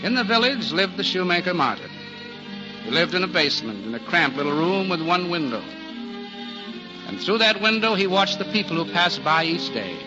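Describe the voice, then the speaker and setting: A man narrating a 1940s radio drama in a formal, measured storytelling manner. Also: high voice, very animated. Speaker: narrator and announcer. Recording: dull old radio recording. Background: music.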